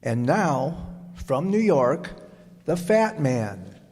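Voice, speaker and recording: Casting: male vintage radio announcer, unhurried and soothing, warm tone, long hall reverb. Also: on the high side, very animated. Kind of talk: old-time radio advertisement and show announcing.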